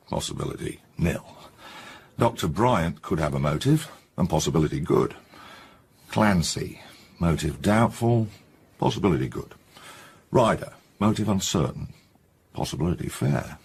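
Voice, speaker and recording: An actor playing a gruff, no-nonsense middle-aged English chief inspector in a radio detective drama, blunt and colloquial. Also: male voice, sits low, animated, a little mellow, full character voice. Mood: summing up. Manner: blunt, colloquial, brisk summing-up.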